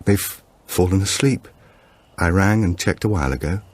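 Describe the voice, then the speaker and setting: A man's voice: deep voice, expressive, normal speed, dry recording, voice pushed up. A man voicing a guarded policewoman who breaks bad news in a careful, formal, restrained way in an audiobook. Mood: careful, gentle.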